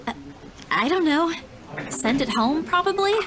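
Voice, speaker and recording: High, hesitant young working woman. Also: animated, measured pace, background noise. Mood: unsure. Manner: hesitant, stammering, polite. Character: timid, earnest, dutiful young female estate agent. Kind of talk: visual novel voice acting.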